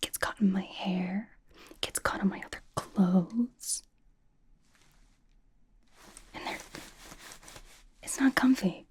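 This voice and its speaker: A woman playing a sassy, vain young K-pop star doting on a fan in an ASMR roleplay, in a breathy whisper with teasing, deadpan delivery. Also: mid-range voice, slow pace, close to an everyday voice. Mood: mock exasperated.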